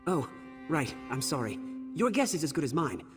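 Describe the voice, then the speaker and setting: A man's voice: a little high, very animated, normal speed, over music. A man playing an anxious, flustered scholarly archivist in a video game, explaining things earnestly. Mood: relieved, earnest. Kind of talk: video game character dialogue.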